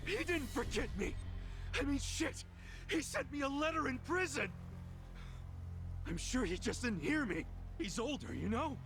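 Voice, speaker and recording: A man's voice: extremely high pitch, expressive, a little slow, vocal fry, long pauses, fairly bright tone, faint music behind, exaggerated voice. A man playing a defiant, brash ex-yakuza fresh from prison in an English-dubbed video game, foul-mouthed and emphatic. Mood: desperate, agitated.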